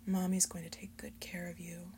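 A woman playing a seductive, doting mature succubus mother figure in breathy, whispered ASMR roleplay, slow, coaxing and soothing. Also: lowish voice, monotone, warm tone, a little hiss or hum, close to an everyday voice. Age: adult.

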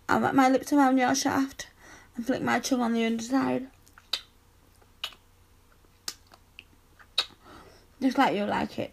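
A woman playing a submissive, pleading female lover in an erotic audio roleplay, with breathy, moaning delivery. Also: extremely high pitch, fairly bright tone, full character voice.

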